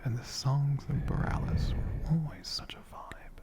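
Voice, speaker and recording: A man in a dragon persona, whispering softly and rambling fondly as he answers viewer questions for an ASMR video. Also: a little high, fairly even intonation, unhurried. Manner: soft-spoken, rambling, fond.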